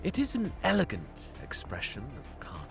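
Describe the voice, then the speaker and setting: A man's voice: fairly high pitch, huge pitch swings, normal speed, creaky, music in the background, exaggerated voice. A man playing a bitter, scheming young alien prince in an audio drama, with theatrical, disdainful delivery that savors cruelty. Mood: brooding, disdainful. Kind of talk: dramatic first-person narration and dialogue, audio drama.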